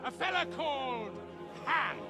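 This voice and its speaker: A stern, self-important medieval official, declaiming in a very high, theatrically swooping voice. He spaces his words out slowly and deliberately, as in a game cutscene proclamation. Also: very animated, music in the background, exaggerated voice. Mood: proclaiming, contemptuous. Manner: declamatory, drawn-out public proclamation. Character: pompous, stern male official presiding over a hanging. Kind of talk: video game cutscene acting, medieval drama.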